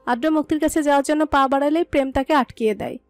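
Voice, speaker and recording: A woman's voice: high voice, quick pace, dry recording. A woman narrator reading a scripted romantic story. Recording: dry voice over soft music.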